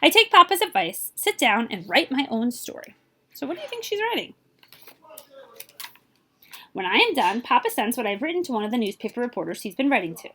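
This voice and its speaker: A woman reading a children's picture book aloud in an animated way, speaking directly to young listeners. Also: fairly high pitch, fairly quick, pauses often, breathy edge. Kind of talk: expressive children's read-aloud with asides to listeners.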